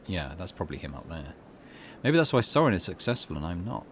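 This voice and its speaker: A man hosting a gaming let's play, casually reading on-screen text and commenting in a musing, low-key way. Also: big pitch swings, a little fast, fairly bright tone, a little hiss or hum. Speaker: gaming channel host.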